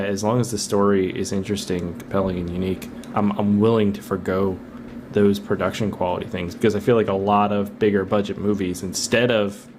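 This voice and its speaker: A man's voice: fairly low pitch, slight background noise. A man co-hosting a podcast, talking casually about film in an informal, meandering way.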